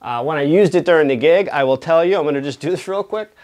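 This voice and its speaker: Male product reviewer, slightly high-pitched voice, casual explanatory delivery, conversational check-ins. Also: fast talker, a little mellow.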